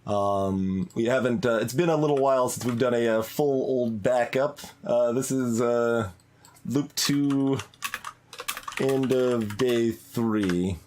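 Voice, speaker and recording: A man giving casual live commentary as he streams a game, musing aloud in a halting way. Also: mid-range voice, darkish tone, a little hiss or hum. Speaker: let's play streamer.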